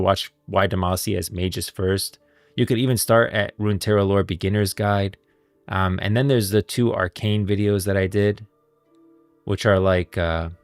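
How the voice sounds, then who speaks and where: Guy streamer chatting game lore, kinda low voice, super into it, dry close sound w music behind. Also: dry recording. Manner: enthusiastic, emphatic. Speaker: livestream host and video creator. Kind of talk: casual livestream commentary on game lore.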